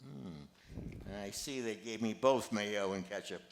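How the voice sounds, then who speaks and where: A man's voice: lowish voice, slow, creaky, a little hiss or hum. An older man reading the part of an amiable retirement-home resident in an amateur play, in a mild conversational way. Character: amiable old male retirement-home resident, mildly upbeat. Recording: echoey room.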